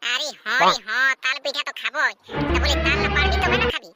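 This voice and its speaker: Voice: high-pitched, fast talker, lightly acted. A performer voicing a bossy, boastful village boy in a comedy cartoon, with a rustic Purulia accent and a teasing, exclamatory, repetitive delivery over music. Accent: Purulia, West Bengal. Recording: loud music behind the voice. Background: loud music.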